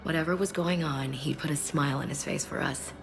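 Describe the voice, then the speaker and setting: A woman's voice: low-pitched, fairly quick, creaky. A woman playing a tough but tender soldier and protective sister in a video game, speaking in a subdued, restrained way. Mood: proud, wistful. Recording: background music under dialogue.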